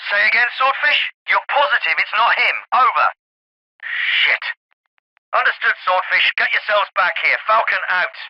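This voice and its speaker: An actor playing an authoritative captain with a clipped, commanding delivery in a military video game. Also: male voice, high voice, expressive, normal speed, no room sound, lo-fi sound. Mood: focused, urgent. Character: authoritative male Royal Navy special forces captain. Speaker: military officer, squad commander. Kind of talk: scripted military game dialogue.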